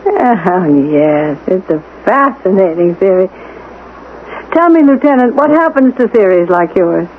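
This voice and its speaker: An actress playing a composed, guarded woman suspect in a radio drama, with measured, arch politeness. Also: mid-range voice, sing-song. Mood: cool irony. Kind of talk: 1970s radio drama acting. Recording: tinny vintage radio broadcast, faint noise.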